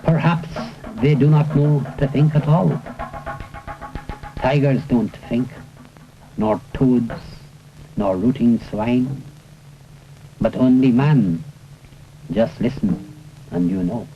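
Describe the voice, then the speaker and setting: Slow, solemn man reciting verse over music. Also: mid-range voice. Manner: measured, solemn, declamatory, with deliberate pauses. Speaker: poetry reciter. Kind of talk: dramatic recitation of political verse. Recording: muffled old 1970s film soundtrack.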